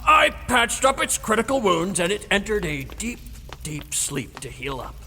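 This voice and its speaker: An actor in an audio drama playing a gruff old dragon-slaying veteran, defensive and blustery, with a gravelly, rambling delivery. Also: male voice, high-pitched, big pitch swings, moderate pace, bright, forceful tone, heavily acted. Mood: blustery, insistent. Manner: blustery, rambling storytelling, stammers when challenged. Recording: reverberant hall with music behind.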